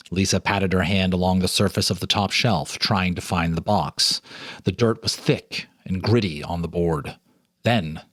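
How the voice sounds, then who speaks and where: A man hosting and narrating a ghost story in a deliberate, dramatic storytelling style with conversational ease. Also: low-pitched, a bit bright, husky, dry recording, studio quality. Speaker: host and narrator reading a story. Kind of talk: dramatic ghost story reading with casual asides. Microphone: speaking to camera, reading off a teleprompter.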